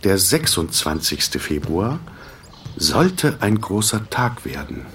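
A man narrating a radio drama in a sober, measured, detached manner, in short sentences. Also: low voice, sing-song, a bit bright, far from the mic, heavily acted. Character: sober, detached male storyteller of a hospital tale.

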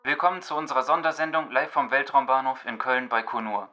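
A man's voice: medium pitch, steady tone, a little fast, bright, forceful tone, faint music behind, natural voice. A man playing a polished radio presenter announcing a special broadcast in an audio drama. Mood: upbeat, official.

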